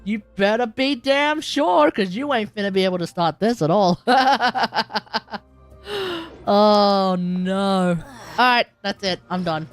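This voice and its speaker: A woman's voice: medium pitch, slow pace, soft background music. A woman streamer chatting casually as she wraps up a stream, rambling with lots of "like" and laughing. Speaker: game streamer.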